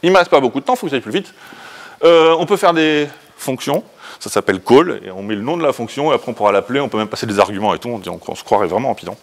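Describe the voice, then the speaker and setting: A man, giving an informal technical talk as a conference speaker, in a casual, colloquial, hurried manner. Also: medium pitch, animated. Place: indoor presentation room, standing by a projection screen.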